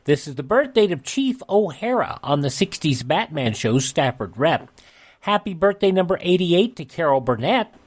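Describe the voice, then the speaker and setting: A man hosting a radio show, reading out an almanac with casual asides in a halting, conversational way. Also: a little high, big pitch swings. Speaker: radio host.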